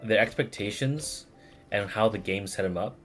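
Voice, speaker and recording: Low-voiced man rambling on a stream. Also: a bit bright, faint music behind. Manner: rambling, halting, searching for words. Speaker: game streamer. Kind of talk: casual livestream commentary, replying to chat.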